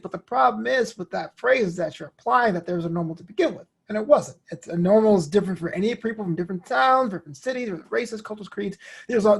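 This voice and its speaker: A man's voice: high-pitched, breathy edge. A man speaking casually and reflectively as an interview guest, often voicing little quoted remarks as he tells his story.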